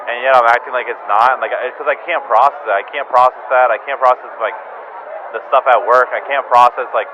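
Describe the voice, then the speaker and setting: A low-energy, downcast man rambling through a confessional voicemail, halting and repeating himself, casual and prone to swearing. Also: steady tone. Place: bar, sitting alone. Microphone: phone voicemail recording. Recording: muffled sound, loud bar noise. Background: loud bar ambience.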